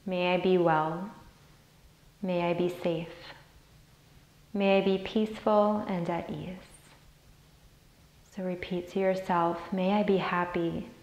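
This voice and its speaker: A woman leading a guided meditation as a teacher, soothing and deliberate as she repeats phrases. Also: fairly low pitch, steady tone, darkish tone, muffled recording. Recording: roomy, echoey sound.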